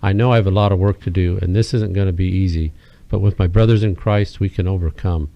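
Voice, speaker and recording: A man co-hosting a call-in radio show, reading out a listener's letter in a steady, affirming way. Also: deep voice, fast talker, dull audio. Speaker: radio co-host.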